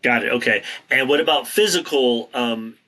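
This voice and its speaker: A man's voice: mid-range voice, moderate pace, pushed and bright, poor audio. A man, hosting an interview and responding enthusiastically with short affirmations. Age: 50s. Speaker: interview host. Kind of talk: interview questions.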